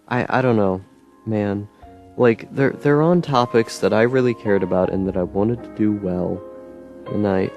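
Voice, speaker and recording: A young man talking to camera in a rambling monologue with a droning, monotone voice, full of ums, restarts and frustrated swearing. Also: medium pitch. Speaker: YouTuber. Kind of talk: personal monologue.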